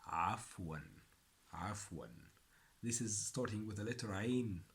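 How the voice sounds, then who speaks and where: A man's voice: fairly low pitch, vocal fry. Patient man repeating phrases. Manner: slow and patient, repeats phrases several times. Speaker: Arabic teacher. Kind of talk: language lesson for beginners.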